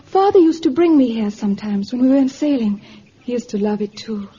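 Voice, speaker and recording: A woman's voice: on the high side, lively intonation, fairly quick, mellow, close to an everyday voice. An actress playing a wistful seventeen-year-old girl, hesitant and yearning, in a vintage radio drama. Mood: evasive, hesitant. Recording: dull, hissy vintage radio recording.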